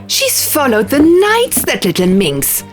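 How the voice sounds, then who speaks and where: A woman's voice: sits high, wildly swooping pitch, takes it slowly, soft background music, voice pushed up, exaggerated voice. A woman voicing quarrelling young female diviners in an audiobook, indignant and urgent, arguing heatedly. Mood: indignant, shouting. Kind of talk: audiobook character dialogue.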